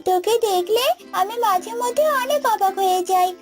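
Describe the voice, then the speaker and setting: A very high-pitched, childlike young calf played by a woman from a children's cartoon, with music behind. She is sulky and spiteful, flinging sarcastic accusations in a whiny manner. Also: moderate pace. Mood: sulky, jealous.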